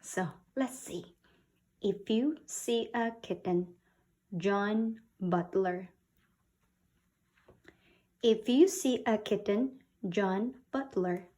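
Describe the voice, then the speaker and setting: A woman from South Korea reading a picture book to young children as a teacher, with simple, expressive talk and questions. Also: mid-range voice, extremely slow, pauses often. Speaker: children's English read-aloud teacher.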